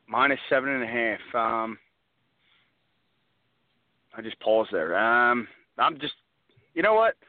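An American man giving casual sports opinions on a radio panel, halting as he thinks aloud. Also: mid-range voice, no room sound. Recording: dry, phone-like narrowband radio sound.

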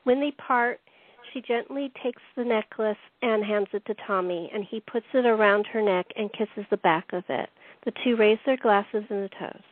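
A woman's voice: mid-range voice, normal speed. A woman narrating stage directions as the host of a radio play series, reading from a script. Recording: echoey room, tinny phone-like sound.

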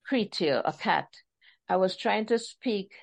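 A woman from Trinidad and Tobago, an author chatting in an interview, animated and acting out the voices she quotes. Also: medium pitch, unhurried, creaky. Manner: animated, laughing storyteller who acts out quotes. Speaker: author guest. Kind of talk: casual interview chat.

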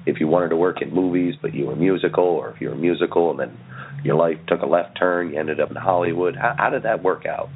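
Low-voiced male podcast host, phone-like sound. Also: fast talker, breathy edge. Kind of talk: casual joking podcast chat. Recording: thin phone-like sound, very dry.

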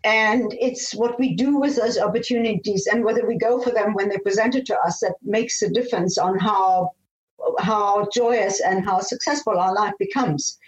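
A woman's voice: medium pitch, moderate pace, roomy sound, poor audio. An older woman from Namibia telling her life story as an interview guest, enthusiastic and often restarting her phrases. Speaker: guest, former airline pilot.

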